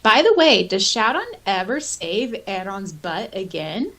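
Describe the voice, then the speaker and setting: Mid-pitched woman chatting on a podcast. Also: sing-song, a little slow, a bit bright. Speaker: podcast co-host and audiobook narrator. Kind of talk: casual chat, some reading aloud.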